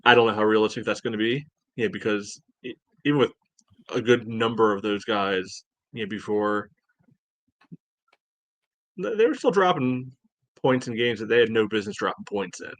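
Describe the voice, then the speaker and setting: Lowish-voiced man, dry close sound, podcasting. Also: animated, moderate pace, no room sound. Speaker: podcast co-host. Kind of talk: casual sports analysis chat.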